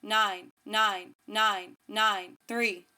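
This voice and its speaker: A woman's synthetic-sounding announcer voice reads single digits one at a time in a flat, detached way, as on a numbers station. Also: medium pitch, very slow.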